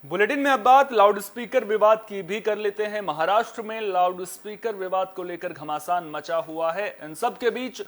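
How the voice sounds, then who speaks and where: A male news anchor with a notably high voice presents a bulletin. His delivery is emphatic, and he tends to repeat and rephrase key points for the viewer. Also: moderate pace, few pauses, fairly bright tone.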